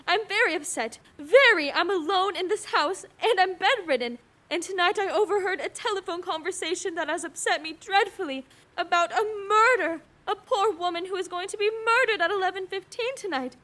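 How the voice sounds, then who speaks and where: A woman's voice: very high-pitched, brisk, bright, forceful tone. An actress playing a nervous, demanding bedridden woman in a suspense radio play, agitated and pleading. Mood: upset, insistent.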